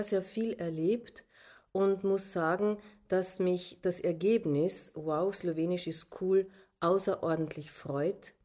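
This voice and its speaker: A Carinthian woman. Her voice is mellow and sits a little low. She talks thoughtfully, pausing and restarting often. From Carinthia, Austria. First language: Slovene. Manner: thoughtful, many fillers and restarts. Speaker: teacher, guest. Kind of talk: personal account in interview.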